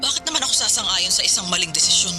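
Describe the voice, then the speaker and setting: A woman's voice: medium pitch, sing-song, very fast, lightly acted. An actress playing a stern, practical Filipino seaman's wife in a radio drama, firm and exasperated with her husband. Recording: loud music under the voice.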